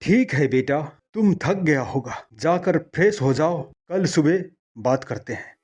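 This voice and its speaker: A man plays an older village farmer, a patient and firm father in a moral story. His voice sits fairly high for a man. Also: expressive, a little slow, a little mellow. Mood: affectionate. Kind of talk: acted moral-story dialogue.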